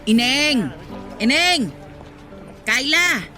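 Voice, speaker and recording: A woman's voice: sits high, expressive, extremely slow, over music, full character voice. Nosy, scolding village churchwoman. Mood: calling out. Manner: prying, admonishing, emphatic. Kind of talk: Filipino radio drama acting.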